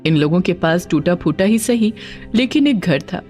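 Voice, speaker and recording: Animated woman narrating a dramatic story. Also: on the low side, normal speed, soft background music.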